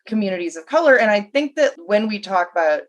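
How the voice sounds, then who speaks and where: A woman on a discussion panel who talks in a measured way and fills gaps with "like". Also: medium pitch, expressive, highs cut off. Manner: measured, with lots of "like".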